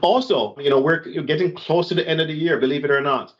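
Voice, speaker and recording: A man's voice: fairly high pitch, brisk, highs cut off. A man co-hosting an online developer community call, running through announcements in a conversational way with frequent fillers and restarts. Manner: conversational, warm thanks, many fillers and restarts.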